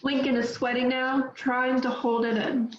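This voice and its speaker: Woman reading script action lines, higher voice, echoey dull mic with a bit of hiss. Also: little pitch movement, measured pace. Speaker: narrator reading stage directions. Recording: roomy, dull mic with faint noise.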